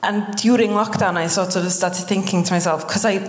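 A Welsh woman in her late twenties, a guest with Welsh as her first language, speaking on a live podcast in an earnest, quick way and laughing often. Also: mid-range voice, steady tone. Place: live stage before an audience in a concert hall. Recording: echoey hall with long reverb.

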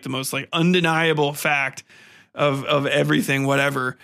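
Bright-voiced man ranting casually, higher-pitched. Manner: profane, exasperated, mocking. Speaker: podcast host reviewing a film. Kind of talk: casual, rambling movie rant.

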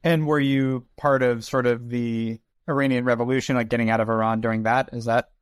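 A man's voice: mid-range voice, level tone, a little fast, smooth, no room sound, clean studio sound. A man hosting a podcast interview in a casual, informal and inquisitive way, with some joking.

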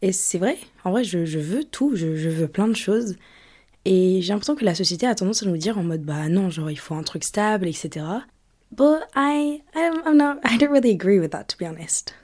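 A woman's voice: mid-range voice, expressive, moderate pace, darkish tone. A young woman from England hosting her own podcast, chatting casually and rambling with lots of fillers and restarts. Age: young adult. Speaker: podcast host. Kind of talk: casual solo podcast chat.

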